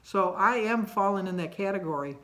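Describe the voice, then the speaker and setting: Female vlogger, late 60s, mid-pitched voice; chatty, unscripted, rambling and self-deprecating. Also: lively intonation, fairly quick, a bit bright. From United States. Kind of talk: casual personal vlog talk about daily life.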